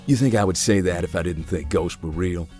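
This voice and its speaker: A performer voicing a calm, guarded, deadpan believer in ghosts in a visual novel, speaking tersely. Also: sits low, a little fast, faint music behind, natural voice. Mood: matter-of-fact.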